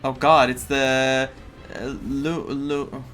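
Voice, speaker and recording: A man commentates casually on his video game playthrough. His mid-range voice rambles with excitement, stumbling as he reaches for words. Faint music runs behind him. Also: mid-range voice, slow pace. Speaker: game streamer.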